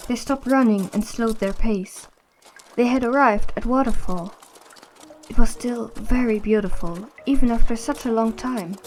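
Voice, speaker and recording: A woman's voice: a little high, a little mellow, a little hiss or hum, close to an everyday voice. A woman reading scripted story narration as the narrator of an audio drama.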